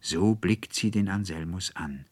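A man with a standard German accent reading literary fiction in a solemn, rapturous, drawn-out manner, phrases fading into breathy whispers. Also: low-pitched, no echo. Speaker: literary reader. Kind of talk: dramatic literary audiobook reading.

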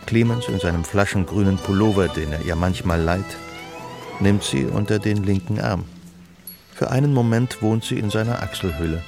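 An actor narrating as a detached, observant storyteller in a scripted literary radio drama. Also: male voice, on the low side, moderate pace, creaky, over music. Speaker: third-person narrator.

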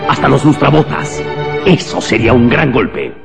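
Imperious official, wildly swooping voice. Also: male voice, mid-range voice, big pitch swings, moderate pace, vocal fry. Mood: lecturing. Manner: haughty, emphatic, hectoring. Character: imperious, contemptuous embassy official, pale and melancholy. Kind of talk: radio drama acting. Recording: long hall reverb, music underneath.

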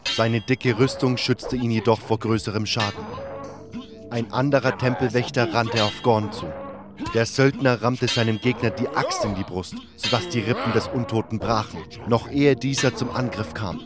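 A man's voice: mid-range voice, normal speed. A man narrating a fantasy audio drama from a script as a first-person storyteller. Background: prominent music.

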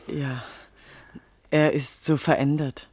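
An actress in a 1950s German radio drama playing a superstitious, flattering, jealous young village woman with a gossipy, credulous manner. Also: deep voice, slow, husky, no echo, heavily acted. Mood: anxious, gossipy.

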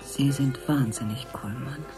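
An actress playing a sober, doubtful woman who thinks through a mystery in a measured way, in a radio drama. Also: rumbling low, over music. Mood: stunned. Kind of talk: German radio drama acting.

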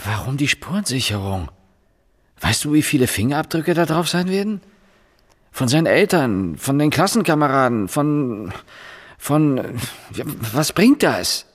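An actor in a German radio drama playing a dogged, irritable police inspector, sceptical and halting in his arguing. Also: on the high side, very animated, long reverb tail. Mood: irritated disbelief.

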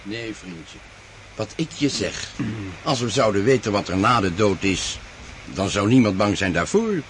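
An actor playing a plain-spoken, philosophizing artillery captain in a radio drama, talking informally. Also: male voice, fairly low pitch, sing-song, unhurried, slight background noise. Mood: musing.

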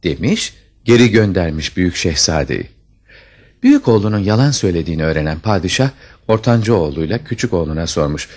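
A man's voice: low voice, lively intonation, fairly quick, darkish tone. A man narrating a scripted fairy tale as a storyteller, with dramatic expression.

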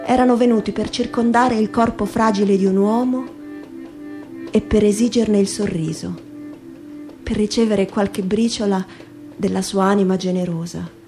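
A woman giving a dramatic literary reading in measured, emphatic phrases, in the style of radio theatre. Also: medium pitch, steady tone. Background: music.

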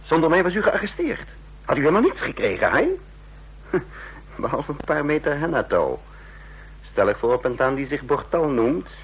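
An actor playing a cool, cunning secret agent in a radio drama, measured and ironic. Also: male voice, a little high, breathy edge, highs cut off, full character voice. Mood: hard-bargaining. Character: cool, cunning male secret agent, smooth-talking and mercenary. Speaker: lead actor and narrator. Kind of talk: Dutch radio drama acting. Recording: noisy vintage radio recording with hum.

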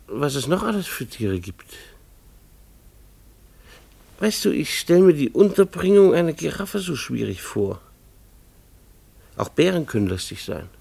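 Wry schoolteacher husband, lively gravelly voice. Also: male voice, medium pitch, full character voice. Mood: pensive. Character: beleaguered, mild-mannered schoolteacher husband, fond of animals. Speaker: narrator and lead character. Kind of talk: German radio play acting with first-person narration.

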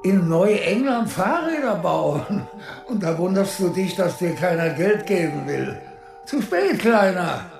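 A scornful old father in a radio play, belittling and gravelly. His high voice rings with echo, and music runs behind it. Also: expressive, takes it slowly, exaggerated voice. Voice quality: creaky. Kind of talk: radio drama dialogue. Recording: echoey space, music behind.

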